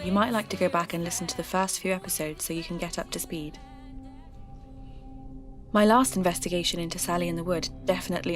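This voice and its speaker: A woman playing an earnest podcaster who narrates her eerie folklore investigations in a measured, reflective way over music. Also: fairly low pitch, lightly acted. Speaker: podcast host. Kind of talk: scripted first-person mystery narration.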